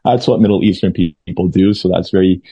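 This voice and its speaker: A man's voice: low voice, fast talker, dark tone, husky, no echo. A man in his thirties from Lebanon, a pastor telling his life story in an interview, speaking hesitantly with many fillers and restarts. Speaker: pastor and guest. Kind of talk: testimony interview. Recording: dull lo-fi dry audio.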